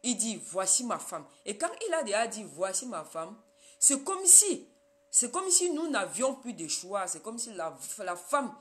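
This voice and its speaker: A woman's voice: mid-range voice, big pitch swings, measured pace. A woman from Cameroon, a Yemba speaker, hosting a casual live stream and talking straight to her viewers.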